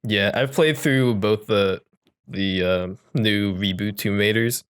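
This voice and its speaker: A man's voice: fairly low pitch, slow pace, fairly bright tone, smooth. A man co-hosting a podcast, chatting casually about films in a joking, bantering way. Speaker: podcast co-host. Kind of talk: casual film-discussion chat.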